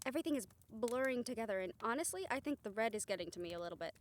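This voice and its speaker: A woman's voice: a little high, animated, racing, slight background noise, close to an everyday voice. A woman playing a caring, teasing and driven strategist of a supernatural team in a conversational audio roleplay. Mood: weary, thoughtful. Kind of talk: scripted audio roleplay acting.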